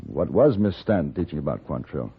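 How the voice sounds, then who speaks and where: An actor playing a calm, worldly gunfighter in an old-time radio western, speaking in a measured, well-spoken, probing way. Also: male voice, very deep voice, creaky, breathy edge, full character voice. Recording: muffled vintage radio recording with music.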